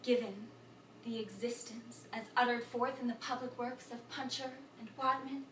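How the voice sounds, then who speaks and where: A woman playing a frantic, babbling thinker in an absurdist stage monologue, incantatory and repetitive, swinging between muttering and shouting. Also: on the high side, steady tone, moderate pace, slight background noise, lightly acted. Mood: halting, intense. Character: frantic, babbling thinker reciting a broken learned tirade. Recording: echoey room, distant microphone.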